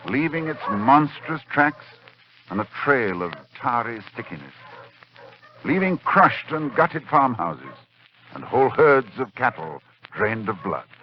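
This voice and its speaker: An actor playing an urgent, dread-filled scholarly doctor in a dramatic 1940s radio horror play. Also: male voice, mid-range voice, sing-song, measured pace, a little hiss or hum. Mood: grim dread. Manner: dramatic, intense delivery. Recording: muffled 1940s radio recording, music behind.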